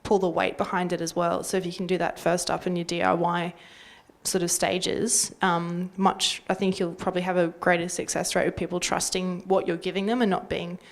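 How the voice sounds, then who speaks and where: A woman's voice: fairly low pitch, little pitch movement, fairly quick. An Australian woman talking casually and informally as a panelist, with loose conversational phrasing. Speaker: community radio panelist.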